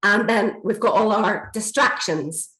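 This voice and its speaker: A woman, slightly hoarse from a chest infection, giving a steady, explanatory workshop talk on a video call, with frequent fillers. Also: mid-range voice, measured pace. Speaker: learning and development trainer. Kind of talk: online workshop presentation. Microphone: computer mic on a video call. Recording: echoey room over a video call.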